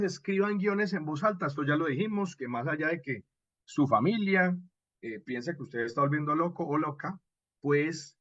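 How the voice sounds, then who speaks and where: A man with a Colombian accent and a husky voice, lecturing expressively as a radio drama instructor and checking in with short rhetorical questions. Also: fairly high pitch, dry recording, muffled recording. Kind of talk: animated workshop lecture with acted examples.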